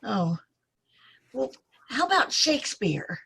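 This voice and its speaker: Husky, earnest young woman played by an actress. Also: on the high side, big pitch swings, slow pace, bright, forceful tone, full character voice. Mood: nervous, hesitant. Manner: earnest, hesitant, polite. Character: earnest, anxious, polite young woman seeking her lost brother. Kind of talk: amateur old-time radio play acting.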